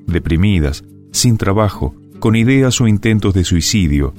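A man reading a scripted public service radio announcement, with soft guitar music behind him. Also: deep voice, lively intonation, moderate pace. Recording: music bed under the voice.

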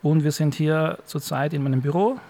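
A man speaking casually and reflectively as an interviewed professor, halting and repeating words as he thinks aloud. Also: on the high side, gravelly, mellow, slight background noise. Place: university office.

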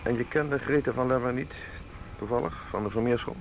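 An actor playing a calm, suspicious male police detective in a radio drama, questioning in a measured, formal way. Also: mid-range voice, lightly acted. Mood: probing, polite. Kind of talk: Dutch radio drama acting. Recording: noisy radio play, vehicle ambience.

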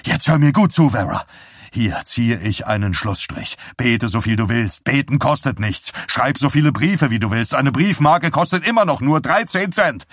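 A man's voice: lowish voice, lively intonation, a little slow, slightly rough, no echo, studio quality. Barking, fed-up husband, as a male narrator plays him. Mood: furious. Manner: barking, forceful, scolding. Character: enraged limping husband, fed up with wife's zealotry. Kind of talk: dramatised audiobook dialogue.

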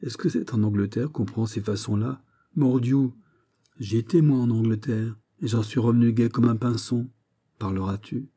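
A middle-aged musketeer captain from a historical novel, performed by a male narrator. He is gruff and fatherly, given to mocking outbursts. His timbre is very dark and soft-edged. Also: medium pitch, steady tone, normal speed. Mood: gruff surprise. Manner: blustering, exclamatory, mocking. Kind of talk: dramatised audiobook of a historical novel.

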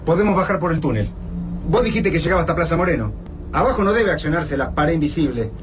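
A man as high-voiced, excitable Argentine survivor. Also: fast, lightly acted. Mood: frustrated, resigned. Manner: urgent, colloquial. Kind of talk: radio drama acting. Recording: distant, noisy battle-scene radio production.

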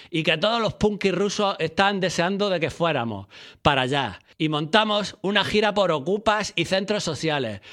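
An actor playing a laid-back punk musician, telling a story in casual slang. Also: male voice, sits high, fairly bright tone.

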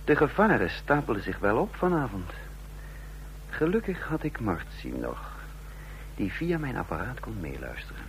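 An actor playing a scheming, self-assured undercover officer who narrates a radio drama in a clipped, deliberate, commanding way. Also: male voice, lowish voice, huge pitch swings. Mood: wry, confiding. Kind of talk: Dutch radio drama acting with first-person narration. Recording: noisy radio drama recording with hum.

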